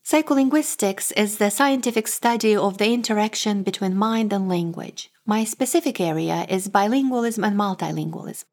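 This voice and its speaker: Measured woman with a Moldovan Romanian accent. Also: mid-range voice. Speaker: author and professor. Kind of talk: scripted talk.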